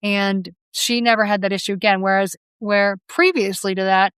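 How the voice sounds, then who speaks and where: A woman's voice: medium pitch, fairly even intonation, normal speed, a bit bright, smooth, dry recording. A woman, a past life hypnotherapist, answering interview questions in a rambling, conversational way with frequent restarts and self-corrections.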